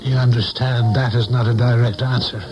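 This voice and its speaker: An actor playing a concerned, probing American man, a confidant, with measured, deliberate delivery in a 1970s radio drama style. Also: mid-range voice, level tone, muffled recording, background noise, close to an everyday voice. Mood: tactful, probing.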